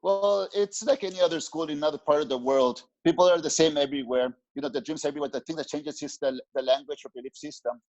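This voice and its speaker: A man's voice: fairly high pitch, darkish tone. A middle-aged man from Mexico, a spiritual teacher speaking earnestly as an interview guest on a headset in a small home room, often restarting his words. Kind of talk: reflective interview talk. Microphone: headset on video call. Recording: dull lo-fi call audio.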